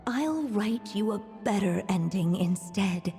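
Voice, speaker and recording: A woman playing a composed, knowing, tender storybook narrator in a video game, with measured, literary delivery and long reverb. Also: mid-range voice, expressive, dark tone. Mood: solemn, tender. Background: music.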